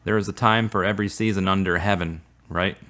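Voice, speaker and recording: Radio drama role, played by a man: sincere, devout, plain-spoken man, lowish voice, saddened in love. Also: fairly even intonation, brisk, pushed and bright. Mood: earnest, wistful. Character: earnest, devout man saddened by a fading romance.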